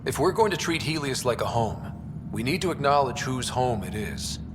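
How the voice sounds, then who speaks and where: A man's voice: animated, moderate pace, natural voice. An actor playing an easygoing young male space explorer in a video game, talking in a casual, wry way. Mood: firm, earnest. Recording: noisy, echoing hall, ambient rumble.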